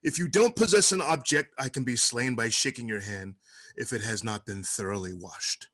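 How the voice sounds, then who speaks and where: A man's voice: mid-range voice, moderate pace, fairly bright tone, lightly acted. A man playing a gloating villain necromancer in a comic fantasy table read, mock-grand and melodramatic. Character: Dark Red, gloating theatrical villain necromancer.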